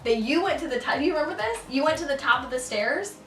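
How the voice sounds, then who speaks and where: A woman's voice: sits high, animated, fast, a bit bright. A woman in her twenties hosting a casual vlog chat, laughing and telling stories. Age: 20s. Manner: chatty, laughing, storytelling. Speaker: vlogger host. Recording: roomy echo, mic at a distance, faint music. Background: faint music.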